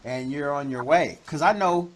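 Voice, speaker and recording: A man hosting an interview, chatty and advising, with jokes and loud laughs. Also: on the high side, moderate pace. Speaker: interview host. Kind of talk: casual interview chat.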